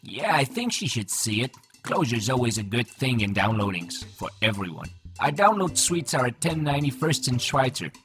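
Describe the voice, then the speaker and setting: A man's voice: medium pitch, sing-song, normal speed, a bit bright, faint music behind. Man acting a genial, lilting nanomachine man. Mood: helpful, friendly. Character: genial downloaded nanomachine man, hearty old friend. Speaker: voice actor. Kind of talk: voiced comic book dialogue.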